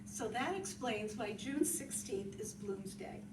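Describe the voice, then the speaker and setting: A woman's voice: a little high, lively intonation, slow pace. A woman presenting at a literary reading, explaining things in the style of a talk. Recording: echoey, distant, noisy room recording.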